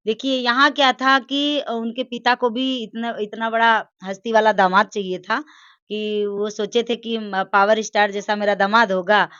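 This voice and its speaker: A woman's voice: on the high side, fairly even intonation, a little slow, fairly bright tone, no echo. A woman giving emphatic opinions in a conversational interview, repeating phrases for stress. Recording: dry, dull lo-fi sound.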